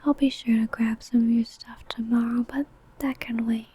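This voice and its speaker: A woman's voice: a little high, flat delivery, normal speed, warm tone, husky. A woman playing an ancient, possessive, doting female vampire in a whispered, intimate ASMR roleplay, crooning breathily. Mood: protective, possessive.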